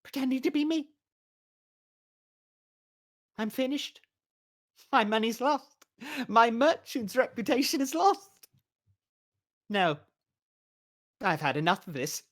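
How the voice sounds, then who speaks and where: A man's voice: very high voice, animated, slow, pauses often, pitched above the performer's own voice, exaggerated voice. A man voicing a ruined, despairing male halfling merchant in an audiobook. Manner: despairing, complaining.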